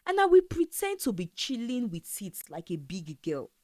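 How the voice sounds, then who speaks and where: A woman voicing a vain, scheming young woman in a folktale, boastful and wheedling with drawn-out interjections. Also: mid-range voice, wildly swooping pitch, a little mellow, no room sound, studio quality, pitched above the performer's own voice. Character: vain, scheming young Nigerian woman, lazy gold-digger.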